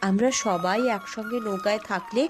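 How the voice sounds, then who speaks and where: A woman's voice: mid-range voice, fairly even intonation, normal speed, over music, lightly acted. A woman playing a devoted, brave mother in a cartoon story, speaking in an earnest, soothing manner. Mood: reassuring. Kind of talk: Bengali cartoon voice acting.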